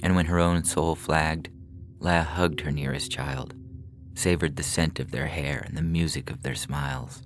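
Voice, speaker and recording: A man's voice: very deep voice, level tone, measured pace. A man reading a short story in a scripted, dramatic narrating style, as on a fiction podcast. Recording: music behind the voice. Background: music.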